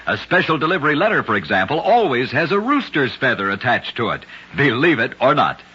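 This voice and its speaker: A man with a husky voice giving dramatic scripted narration for an old radio show. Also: mid-range voice, big pitch swings, fairly quick, sharp tone. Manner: dramatic storytelling delivery. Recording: dull lo-fi old radio recording.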